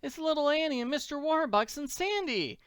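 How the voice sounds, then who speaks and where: Gushing farm wife, male reader in falsetto; shrill, lively. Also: moderate pace, dry recording, clean studio sound. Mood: excited. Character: fussy warm farm wife.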